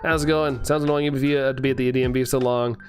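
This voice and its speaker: A man's voice: medium pitch, fairly even intonation, racing, faint music behind. A young man streaming and chatting casually as he reads comments, laughing often, with a voice that keeps giving out. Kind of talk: casual live-stream chat reading comments.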